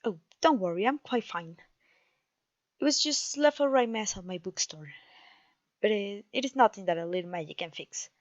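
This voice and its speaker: A woman's voice: mid-range voice, animated, moderate pace, no room sound, muffled recording, natural voice. A woman with a Mexican accent playing a clumsy, cheerful witch teacher in an ASMR roleplay, theatrical and genteel in her encouragement. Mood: welcoming, instructive. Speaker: voice actor.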